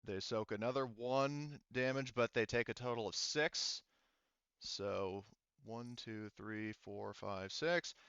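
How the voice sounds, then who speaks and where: A man acting as game master of a tabletop game, explaining rules casually in a halting, step-by-step way. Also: medium pitch, a bit bright, smooth, no room sound, lo-fi sound.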